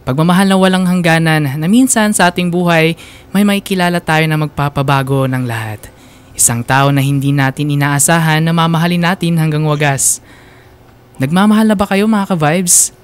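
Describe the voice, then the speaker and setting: A man's voice: fairly high pitch, normal speed, smooth. A Filipino man hosting a radio show, delivering a scripted introduction to a story. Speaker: radio DJ sitting in as host and story narrator.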